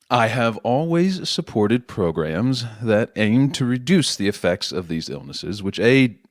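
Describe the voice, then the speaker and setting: A man acting in an audio drama as a middle-aged politician father, educated, defensive but caring, speaking in a conversational, gently questioning way. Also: fairly low pitch, big pitch swings, takes it slowly, no room sound, clean studio sound. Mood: earnest.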